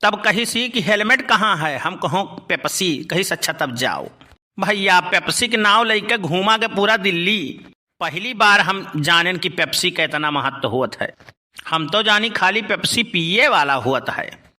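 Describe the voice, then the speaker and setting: A man's voice: high voice, expressive, measured pace, pushed and bright, exaggerated voice. A man playing a folksy, rambling village uncle in a cartoon, with an Awadhi accent. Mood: folksy storytelling. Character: folksy village uncle telling tall anecdotes. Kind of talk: cartoon storytelling in rustic dialect.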